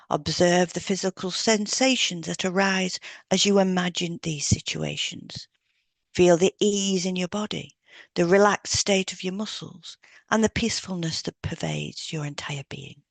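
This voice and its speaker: Gently creaky woman, measured and soothing. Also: fairly low pitch, no echo. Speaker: podcast co-host and hypnotherapist. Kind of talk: guided relaxation script reading and scripted podcast discussion.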